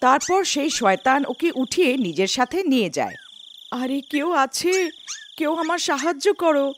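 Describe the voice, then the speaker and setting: A woman's voice: medium pitch, background noise. A woman reading scripted narration for a cartoon folk story.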